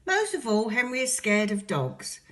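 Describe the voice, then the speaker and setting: Teacher lady reading a kids' story out loud, voice jumps all over, really dramatic. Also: a little high, normal speed. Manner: expressive storytelling with big swings for drama. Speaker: infant school teacher. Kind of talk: reading a picture book aloud to young children.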